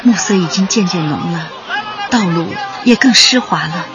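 An actress playing an earnest young community worker, narrating a radio drama in a solemn, heartfelt, literary way. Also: female voice, fairly low pitch, moderate pace, a crowd in the background, background noise, close to an everyday voice. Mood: grave, urgent. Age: young adult. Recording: dull band-limited sound under loud music.

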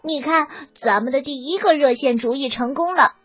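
A very high, childlike little bear cub for a children's audio tale. The cub is clumsy, helpful and proud, talking slowly with hesitant fillers. The sound is slightly dull, with music in the production. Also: a bit bright, no room sound. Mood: pleased, explaining. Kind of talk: children's audio story acting.

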